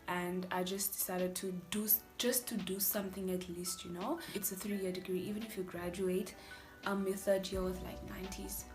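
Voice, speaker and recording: A South African woman in her twenties, a native Afrikaans speaker, telling her student story in a chatty, animated way with breathy asides. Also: mid-range voice. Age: 20s. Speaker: vlogger, university student. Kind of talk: candid personal storytelling vlog.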